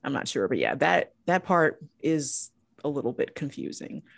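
A woman's voice: sits low, big pitch swings, moderate pace, creaky, slightly rough, dry recording, clean studio sound. A woman hosting a casual podcast discussion in an irreverent, crude-humored manner. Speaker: podcast host leading the discussion.

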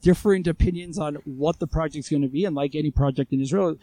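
American man in his thirties, podcast guest, eager and chatty, voice on the higher side. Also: fast talker, warm tone, no echo. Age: 30s. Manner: chatty, enthusiastic, heavy use of "you know". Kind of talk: casual interview chat. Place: outdoors in a residential neighborhood.

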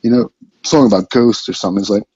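A man's voice: lowish voice, fast, dark tone, slightly rough, no echo, dull audio. A man talking casually in a podcast interview, rambling with many fillers and restarts. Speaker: band member, vocalist and lyricist.

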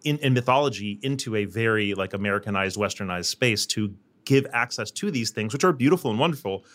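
A man's voice: on the low side, lively intonation, fairly quick, fairly bright tone. A man hosting an interview podcast, chatty and rambling as he sets up questions. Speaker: podcast host. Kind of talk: casual interview.

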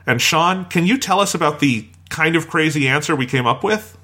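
A man's voice: on the high side, expressive, moderate pace, sharp tone. A man hosting a podcast in a conversational style, introducing the show and guests. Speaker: podcast host.

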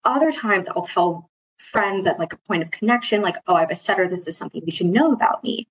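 Husky woman with halting phrasing. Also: lowish voice, poor audio. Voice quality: mild, mostly covert stutter with occasional repeated starts. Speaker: interview guest, employee speaking on stuttering. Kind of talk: reflective workplace interview.

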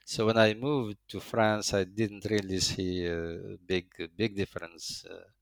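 Halting older man, low dry voice. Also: flat delivery, vocal fry, dry recording. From Morocco. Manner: slow, halting, many uh fillers and restarts. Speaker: interviewee, engineering professor. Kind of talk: hesitant interview answers.

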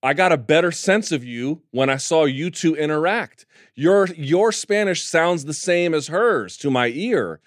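A man hosting a casual talk show about mixed martial arts, with an animated, emphatic way of talking. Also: on the high side, unhurried, dry recording, studio quality. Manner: animated, emphatic, calls co-host dude. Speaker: podcast host.

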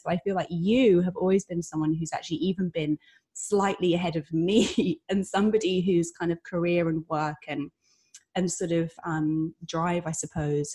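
A woman's voice: on the low side, dark tone, breathy edge. A woman hosting a podcast interview, talking in a reflective, rambling way with many fillers and restarts. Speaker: podcast host. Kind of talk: conversational interview.

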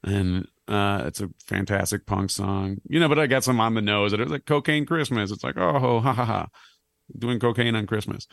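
A man in his thirties with a low, slightly raspy voice, chatting casually on a podcast as a guest, rambling and self-deprecating. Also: no echo, clean studio sound. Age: 30s. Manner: rambling, self-deprecating, animated when joking. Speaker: clown and musician guest.

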